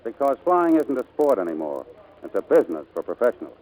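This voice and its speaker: A man's voice: medium pitch, very animated, fast, a little mellow, low-quality recording. An American actor playing a brash, charming, dismissive male pilot in 1940s radio drama style. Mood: blunt, teasing.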